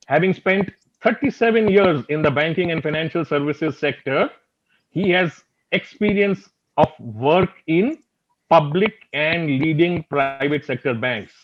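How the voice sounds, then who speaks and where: An older man with an Indian accent, co-hosting and making a formal scripted introduction on a video call. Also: fairly high pitch. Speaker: show co-host introducing guests. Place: indoor room, on a video call. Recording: slightly dull video-call audio.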